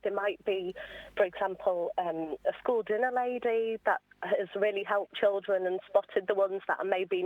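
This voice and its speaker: Woman guest on a radio chat, explaining stuff earnestly, mid pitch, bit muffled and lo-fi. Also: no echo. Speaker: interview guest, awards founder. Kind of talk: conversational radio interview.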